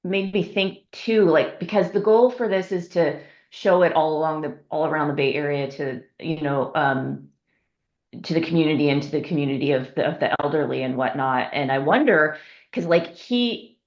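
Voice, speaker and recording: Woman, very low pitch, casual discussion participant, roomy and muffled recording. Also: normal speed. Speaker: participant giving script feedback. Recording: echoey, dull call audio.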